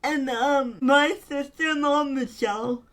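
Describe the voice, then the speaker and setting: High-pitched woman cohost, takes her time, kinda raspy, warm and earnest chat. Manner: slow, earnest and affectionate, with frequent fillers. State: voice getting raspy from talking. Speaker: podcast co-host. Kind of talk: casual chat.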